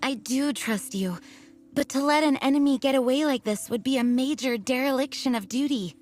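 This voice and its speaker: A woman's voice: high-pitched, moderate pace, darkish tone, natural voice. A woman voicing a noble, dutiful knight commander in a video game, speaking formally and earnestly. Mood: resolute. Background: game music.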